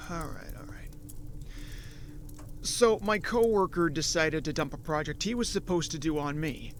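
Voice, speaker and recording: A man playing an awkward, frustrated office worker in his late twenties in an audio drama, with halting, stammering speech. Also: fairly high pitch, slight background noise. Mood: weary, downcast. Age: late 20s.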